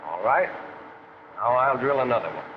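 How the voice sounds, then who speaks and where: On a dull 1940s film soundtrack with faint noise, an actor plays a male American factory instructor. He has a medium-pitched voice and talks in a plain, practical way, laying things out step by step. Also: lively intonation, normal speed, close to an everyday voice. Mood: methodical. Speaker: shop floor instructor. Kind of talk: 1940s training film acting.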